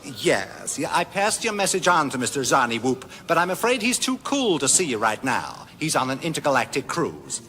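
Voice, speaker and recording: An actor playing a too-cool publishing office receptionist in a radio comedy, breezy and offhand on the phone. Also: male voice, mid-range voice, wildly swooping pitch, normal speed, hardly any pauses, bright, forceful tone, slight background noise. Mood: blasé.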